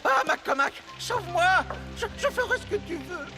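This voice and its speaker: An actor playing a pompous, scheming cartoon villain, a cowardly impostor high priest who declaims. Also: male voice, sing-song, moderate pace. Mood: panicked. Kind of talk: French cartoon villain acting. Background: loud music.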